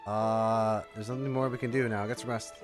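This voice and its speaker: A man's voice: lowish voice, steady tone, moderate pace. A man livestreaming a game in a casual, rambling, chatty way, reacting and reading text aloud. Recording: faint music behind voice. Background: faint game music.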